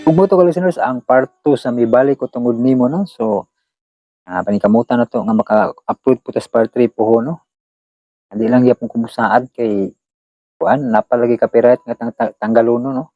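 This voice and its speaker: A man's voice: medium pitch, dry recording. Casual Filipino man, dull dry recording. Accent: Filipino, Cebuano. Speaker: channel host. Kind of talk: casual chat to listeners.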